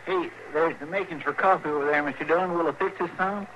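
An actor playing a folksy, grumbling deputy sidekick with a rural American drawl in a 1950s radio western. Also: male voice, a little high, fast talker, fairly bright tone, lo-fi sound, close to an everyday voice. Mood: homely, chatty. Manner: plain-spoken, countrified. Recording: noisy old 1950s radio recording.

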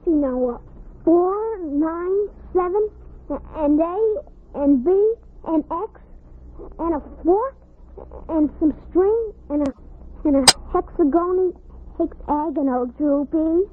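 Excitable, very high-voiced little girl. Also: slow. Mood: absorbed, eager. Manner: breathless, childlike, insistent, stumbling over big words. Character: excitable, earnest little girl obsessed with secret invasion game. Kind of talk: 1950 radio drama acting. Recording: muffled, noisy old radio recording.

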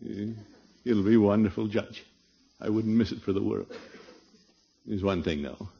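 An actor playing a flustered, blustery middle-aged uncle in a 1950s radio comedy. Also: male voice, on the low side, fairly even intonation, unhurried, vocal fry, breathy edge, full character voice. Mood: anxious, subdued. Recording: dull, muffled old radio recording.

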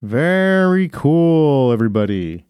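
A man's voice: on the high side, very animated, slow pace, a little mellow, smooth, no room sound, studio quality. A man hosting a podcast, reading from his phone in a casual, crude way with frequent laughter. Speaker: podcast host. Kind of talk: casual comedic chat.

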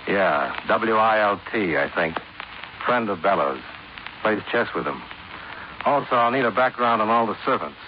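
A man's voice: fairly low pitch, lively intonation, moderate pace, highs cut off, lightly acted. An American man acting a cool, wry insurance investigator in a 1950s radio drama, with dry, clipped hardboiled delivery. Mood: wry, brisk. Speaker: lead actor, narrator of radio detective serial. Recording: noisy, band-limited 1950s radio recording.

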